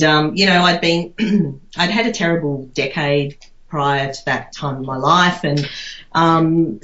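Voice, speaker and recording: Aussie woman chatting in an interview, low voice, rambly, echoey room. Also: a bit bright. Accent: Australian. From Australia. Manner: rambling, conversational, many "you know"s. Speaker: interview guest. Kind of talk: casual reflective interview answers. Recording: echoey room, slightly dull sound.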